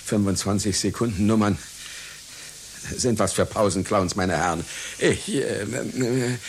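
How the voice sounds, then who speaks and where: A low-voiced, dignified clown who takes himself very seriously. He speaks slowly and formally, proud and easily piqued, as in a satirical radio play, over clear hiss. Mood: dismissive. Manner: pompous, deliberate, formal diction. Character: pompous, dignified male clown with a doctorate, touchy and proud. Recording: hissy radio play recording.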